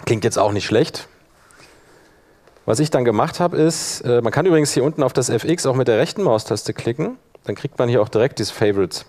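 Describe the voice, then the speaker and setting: A man's voice: mid-range voice, lively intonation, brisk, darkish tone. A man presenting a workshop in an informal tutorial style, thinking aloud as he demonstrates step by step.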